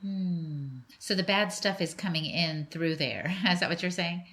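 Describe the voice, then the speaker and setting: A woman's voice: lowish voice, measured pace, fairly bright tone. A middle-aged woman hosting a podcast interview, asking curious questions with light laughter. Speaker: podcast host. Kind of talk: interview conversation.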